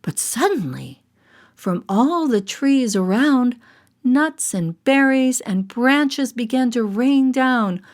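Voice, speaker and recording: A woman reading a children's story in a theatrical, deliberate narrating style. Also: sits high, expressive. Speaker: storyteller, voice actor.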